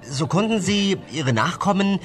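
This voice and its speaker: A man's voice: high voice, animated, unhurried, music in the background. A man playing an earnest, long-suffering scientific assistant in a comic radio play. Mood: earnest, hesitant. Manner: earnest, slightly exasperated.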